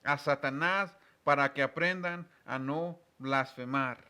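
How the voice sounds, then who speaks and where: Bright-voiced man earnestly teaching the Bible. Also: mid-range voice, slow, smooth. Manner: earnest, explanatory, emphatic on key words. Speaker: religious programme host and Bible teacher.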